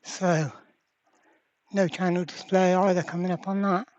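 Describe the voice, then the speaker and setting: A repair channel host talks slowly through the work in a slightly dull, lo-fi recording. The delivery is casual and blunt, with an exasperated edge, as if working each problem out aloud. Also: mid-range voice, steady tone, darkish tone. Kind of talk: casual hands-on repair vlog narration.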